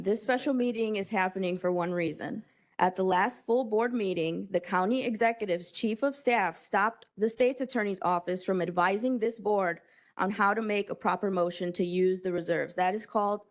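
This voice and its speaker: A woman county board member giving a pointed, forceful prepared statement at a public meeting. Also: mid-range voice, no echo.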